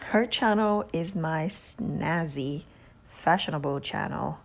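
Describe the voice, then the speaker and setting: A woman with a Haitian accent hosts a casual vlog, giving gushing shout-outs at a slow, unhurried pace. Her voice sits a little low. She stretches her emphasis and drops into soft whispery asides. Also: vocal fry. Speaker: beauty channel host.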